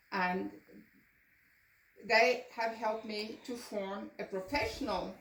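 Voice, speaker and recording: An older woman delivers a prepared talk about her own views. Her phrasing is deliberate and earnest. The sound is distant from the microphone, with clear room echo around her medium-pitched voice. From Norway. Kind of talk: prepared personal presentation.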